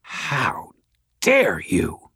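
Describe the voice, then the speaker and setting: Man putting on a haughty, high-voiced sultry noblewoman. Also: sing-song, a little slow, no room sound, clean studio sound. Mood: outraged. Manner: slinky, sneering, aristocratic.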